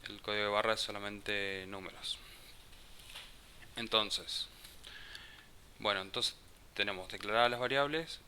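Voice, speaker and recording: A man with a low voice and a very bright, forceful tone teaches programming. He talks slowly and haltingly, restarting phrases as he works through code. A faint hiss sits under the voice. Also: fairly even intonation. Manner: slow, halting, thinks aloud with restarts. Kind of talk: live coding class explanation.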